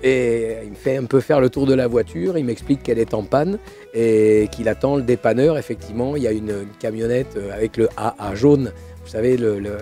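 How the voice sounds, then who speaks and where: Hoarse older man hosting a car show, warm low tone, chatty and halting. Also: faint music behind. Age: 60s. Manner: hesitant, many fillers and restarts. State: hoarse from laryngitis. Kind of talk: casual storytelling to camera.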